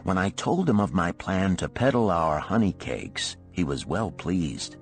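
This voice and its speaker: A man's voice: deep voice, sing-song, a little slow, faint music behind. A man reading a story aloud as scripted audiobook narration.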